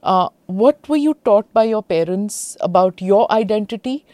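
A woman's voice: mid-range voice, animated, moderate pace, dark tone, no room sound. A woman hosting an interview, asking guided questions and keeping her guest going with short murmurs of agreement. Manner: prompting, frequent backchannel "hmm". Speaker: podcast host.

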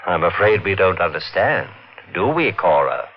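An actor playing a scheming, menacing murderer who narrates and acts in a 1940s radio mystery, terse and calculating. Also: male voice, low-pitched, big pitch swings, normal speed, heavily acted. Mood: guarded. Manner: hard-boiled, calculating, terse. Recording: muffled old-time radio recording.

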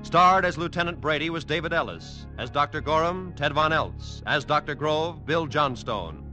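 A man's voice: fairly high pitch, animated, moderate pace, pushed and bright. An American man reading scripted announcements in vintage radio announcer style. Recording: vintage radio broadcast, music underneath.

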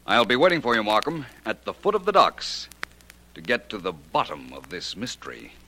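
An actor playing an assured, decisive private detective in a 1940s radio drama. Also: male voice, lowish voice, wildly swooping pitch, normal speed, pushed and bright, a little hiss or hum, exaggerated voice, low-quality recording. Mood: urgent. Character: composed, take-charge male private detective.

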